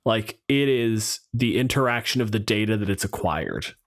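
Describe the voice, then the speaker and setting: A man's voice: on the low side, expressive, normal speed. A man hosting a podcast in a casual, joking discussion style, thinking aloud and arguing his points with some swearing. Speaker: podcast host.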